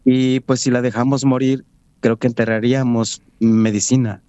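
A man's voice: medium pitch, little pitch movement, warm tone, clear, resonant tone, dry recording, highs cut off. Earnest man. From Mexico. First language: Nahuatl. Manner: earnest, measured, with many fillers and restarts. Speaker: guest, Nahuatl language coordinator. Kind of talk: interview conversation.

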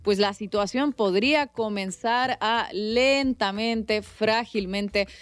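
A woman presenting news analysis in a clear, deliberate way. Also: medium pitch.

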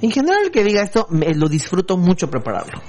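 A man's voice: sits high, huge pitch swings, fast, a little mellow. A man hosting a live show, agreeing eagerly and reading out viewer messages to his guest. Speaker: interview host.

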